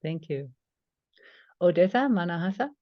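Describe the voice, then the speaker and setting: A woman moderating a webinar, briefly thanking people and reading out names haltingly. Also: lowish voice, expressive, no echo. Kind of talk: calling on participants. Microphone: video call. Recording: dull, dry video-call audio.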